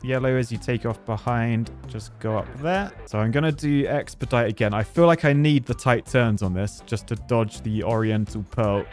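A British man hosts a gaming video with casual, running commentary. His voice sits at an average pitch, and he talks in a chatty, joking way, reasoning out loud as he plays. Music plays faintly behind him. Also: normal speed, hardly any pauses. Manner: jokey, chatty, thinking aloud to the planes. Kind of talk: casual live gameplay commentary.

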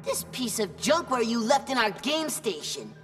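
An actor playing a goofy, excitable teenage superhero slacker in a cartoon video game, slangy and comic. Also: male voice, very high-pitched, normal speed, faint music behind, exaggerated voice. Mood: indignant, slangy. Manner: slangy, laid-back, comic.

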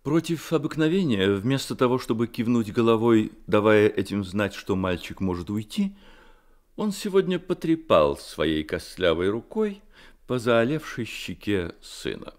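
A man narrating a literary Soviet radio drama in a measured, expressive storytelling manner. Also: lowish voice.

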